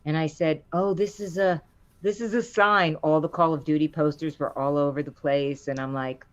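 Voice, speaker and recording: Low-voiced woman telling animated stories. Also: moderate pace, highs cut off. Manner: animated storyteller, acts out quotes with rising pitch. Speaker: voice actor guest. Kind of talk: casual interview chat.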